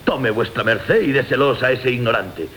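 Un actor de acento castellano interpreta a un capitán galante, orgulloso y rumboso, con tono grandilocuente de comedia clásica radiofónica. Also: male voice, lively intonation, normal speed, fairly bright tone, a little hiss or hum. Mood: gallant, doting. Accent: Castilian Spanish. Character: gallant, free-spending captain, proud and doting lover. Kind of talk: classic Spanish comic radio theatre. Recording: rumbly 1980 radio drama recording.

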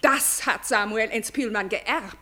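An actress playing a gossipy, deferential aristocratic lady in a radio play, telling her tales effusively with dramatic, drawn-out emphasis. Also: on the high side, sharp tone. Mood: dramatic, impressed. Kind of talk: German radio play acting.

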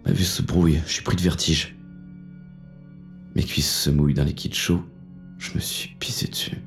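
Man acting a very deep-voiced hardboiled noir inspector. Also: little pitch movement, moderate pace, gravelly, faint music behind. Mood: tense, frightened. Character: hardboiled male police inspector, menacing, weary, noir narrator. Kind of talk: scripted first-person noir audio fiction narration.

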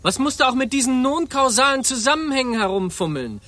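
A shrill, reproachful inner voice in a radio play. It is very high and bright, with a lively, sing-song pitch as it scolds. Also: male voice, fairly quick, no room sound, heavily acted.

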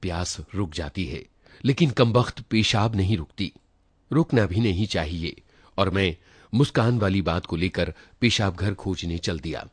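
A man's voice: low-pitched, lively intonation, measured pace, husky, no room sound. A man reading a literary short story aloud in a dramatic, reflective first-person manner. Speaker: story reader.

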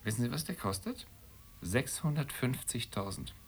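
A man's voice: medium pitch, big pitch swings, moderate pace, a little hiss or hum. An actor playing an irritable, sarcastic husband in a radio play, blunt and needling in his delivery. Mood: irritated, sardonic. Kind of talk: German radio play acting.

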